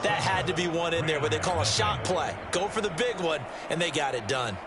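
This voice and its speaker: An actor playing an earnest American football analyst in a video game, explaining plays in a conversational, emphatic way. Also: male voice, on the high side, animated, a little fast, loud music behind the voice, natural voice. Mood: earnest, approving. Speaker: color commentator, football analyst.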